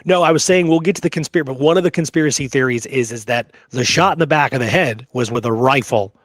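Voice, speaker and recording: A man's voice: mid-range voice, quick pace, a bit bright, dry recording, studio quality. A man hosting a history show, reading old sources aloud between chatty asides. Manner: chatty, with frequent "you know" and "okay" asides. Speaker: history podcast host.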